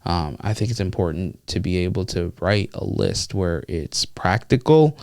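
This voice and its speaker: A man's voice: deep voice, animated, normal speed, gravelly, darkish tone, no room sound, studio quality. A man co-hosting a podcast in casual chat, talking through his points with fillers. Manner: conversational, hedging with fillers. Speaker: podcast co-host.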